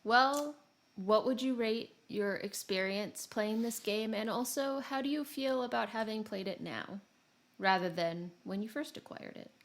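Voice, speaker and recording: A woman's voice: a little high, unhurried. A woman co-hosting a podcast, chatting casually about video games. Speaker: podcast co-host.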